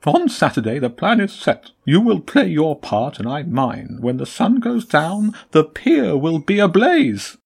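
A man voicing a scheming male pigeon leader who speaks in a high, jolly-sounding voice that turns menacing. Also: very animated, measured pace, creaky, hardly any pauses, darkish tone, husky, full character voice.

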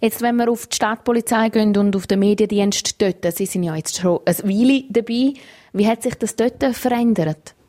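A woman with a Swiss German accent hosting a radio interview and asking measured questions. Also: medium pitch, dark tone. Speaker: radio host.

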